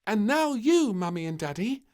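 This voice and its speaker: A man voicing an excitable, bossy little princess in a storybook read-aloud, with high squeals and bright exclamations. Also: normal speed, background noise. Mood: excited.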